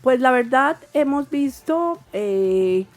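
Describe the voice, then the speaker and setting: A woman's voice: high-pitched, animated, slow pace. A woman, an institute director and guest in a radio interview, who explains things thoughtfully.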